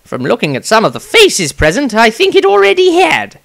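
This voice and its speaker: A man's voice: very high voice, wildly swooping pitch, moderate pace, creaky, a bit bright, voice pushed up, full character voice. A man voicing a haughty, self-interested adventurer with a disdainful, wry manner in a fantasy diary reading. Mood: detached, wry.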